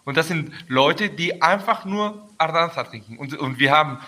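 A man with a Spanish accent, a native Spanish speaker, speaking carefully and haltingly as a guest on a podcast. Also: a little high, animated, pushed and bright. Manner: careful, halting speech in a second language. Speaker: guest from a winery. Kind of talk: casual chat.

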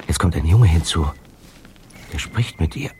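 An actor playing a calm, observant teenage detective in a radio play. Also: male voice, sits low, a little slow, a little hiss or hum. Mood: watchful.

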